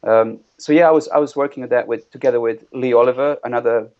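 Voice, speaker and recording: A man's voice: medium pitch, steady tone, quick pace. A man from Bosnia, a guest concept artist, talking in a casual interview with many restarts and repeated words. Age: middle-aged. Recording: lo-fi, muffled audio.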